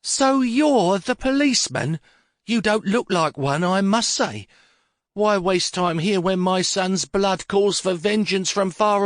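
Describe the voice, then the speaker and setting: A man voicing a frail, furious old mother who is petulant, shrill and quavering, then pleads in a childish way. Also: dry recording, clean studio sound. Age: older.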